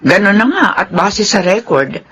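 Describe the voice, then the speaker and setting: A woman's voice: medium pitch, very animated, moderate pace, a bit bright, slight background noise. A Filipino woman acting a polite, reassuring office manager in a radio drama. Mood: businesslike. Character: smooth, secretly compliant female office manager.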